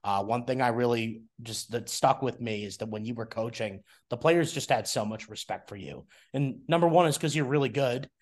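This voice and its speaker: A man's voice: medium pitch, normal speed, few pauses. Young male podcast host, casual and rambling, easygoing interviewer. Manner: friendly, rambling, many fillers and restarts.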